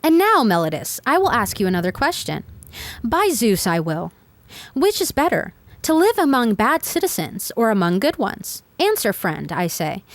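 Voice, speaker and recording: A woman's voice: medium pitch, no echo, natural voice. A woman voicing an elderly male philosopher who argues his own defense in court, pressing his accuser with pointed rhetorical questions. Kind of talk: reading a dialogue aloud.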